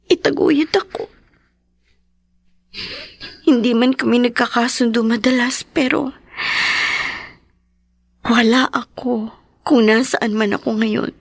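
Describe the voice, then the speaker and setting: Slow-spoken, high-voiced devoted daughter. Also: female voice, gravelly, no room sound, studio quality, heavily acted. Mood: tender, reminiscing. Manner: slow, emotional, earnest delivery. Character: devoted, wounded adult daughter caring for her sick father. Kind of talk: Filipino radio drama acting.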